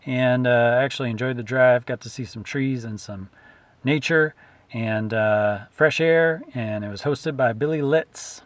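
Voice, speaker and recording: A man's voice: medium pitch, measured pace, no room sound. A man in his thirties talking casually to a close camera from inside a car, easygoing with frequent "uh" fillers. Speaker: vlogger and musician. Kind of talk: casual travel vlog narration. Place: inside a parked car at night. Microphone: camera facing him at close range.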